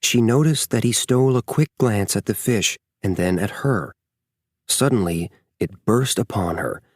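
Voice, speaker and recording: A man narrating a scripted story as an audiobook reader, with measured, expressive storytelling. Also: on the low side, husky, no room sound, studio quality.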